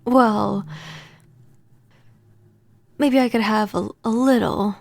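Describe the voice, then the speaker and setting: A woman playing a gentle, apologetic vampire neighbor in a soft-spoken, hesitant ASMR audio roleplay. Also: mid-range voice, fairly even intonation, slow pace, vocal fry, no echo. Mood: bashful, cozy. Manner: hesitant, stammering, earnest.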